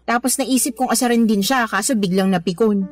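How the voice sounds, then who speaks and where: A woman's voice: a little high, brisk, natural voice. An actress playing an emotional young woman hurt by her boyfriend. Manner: pleading, emotional.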